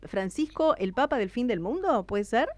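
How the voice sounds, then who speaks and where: A woman's voice: fairly high pitch, animated, normal speed, darkish tone, no echo. A woman with an Argentine Spanish accent hosting a casual radio chat in a talkative, agreeable manner. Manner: chatty, interjects agreement often. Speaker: radio host.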